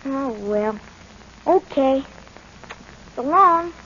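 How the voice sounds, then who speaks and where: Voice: high-pitched, lively intonation, very slow, pushed and bright, full character voice. A performer playing a streetwise, plain-spoken young boy with slangy speech in a 1940s radio drama. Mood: bewildered. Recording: muffled, noisy old 1940s radio recording.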